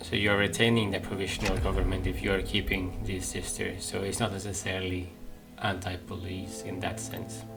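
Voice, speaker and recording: Low-voiced man musing over a game. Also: little pitch movement, brisk, gravelly, few pauses, rough recording. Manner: hesitant, musing, thinking aloud. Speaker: gaming video host. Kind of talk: casual playthrough commentary. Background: game music.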